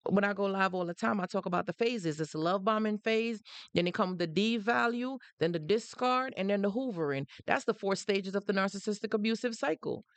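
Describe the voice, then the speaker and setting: A woman with a Jamaican accent talks casually to her livestream audience and drives her points home by repeating them. Her voice sits at a middle pitch. The sound is dry and close, with no room echo. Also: fairly quick, clean tone. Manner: emphatic, repeats phrases, greets viewers mid-sentence. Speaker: livestream host. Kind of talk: casual livestream talk to viewers.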